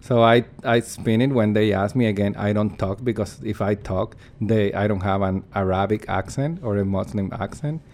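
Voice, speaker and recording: Casting: man, lowish voice, earnest and reflective, pauses to find words. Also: a little mellow. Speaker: performance artist. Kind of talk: interview answers.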